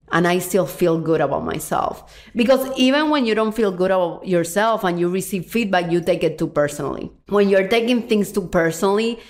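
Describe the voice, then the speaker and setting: A middle-aged woman from Cuba with a Cuban Spanish accent, a native Spanish speaker, hosting a solo podcast as a confidence coach, earnest and animated, slowing down to stress points and hurrying through asides. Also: lowish voice. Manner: earnest, animated, slows for emphasis and speeds up in asides.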